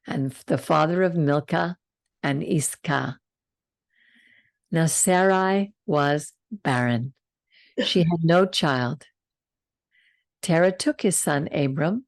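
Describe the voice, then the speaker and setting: A low-voiced older woman reads scripture aloud on a video call, slow and unhurried, stopping now and then to comment. Her voice has a gravelly edge. The sound is close and dry. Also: no room sound. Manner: slow, calm reading with side comments. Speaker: Torah study host. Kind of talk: reading scripture and teaching.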